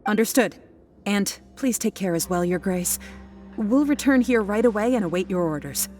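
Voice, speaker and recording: Dutiful, animated female subordinate played by a woman. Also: mid-range voice, normal speed, soft background music, natural voice. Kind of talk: video game voice acting.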